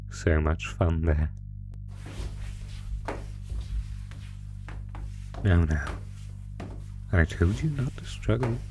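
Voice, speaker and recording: A man voicing a sinister, sadistic monster from under the bed in a horror roleplay, taunting his prey in a deliberate, mock-genteel, theatrical way. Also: deep voice. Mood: gloating menace. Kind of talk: horror roleplay audio, slow theatrical menace. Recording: music under the voice. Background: music.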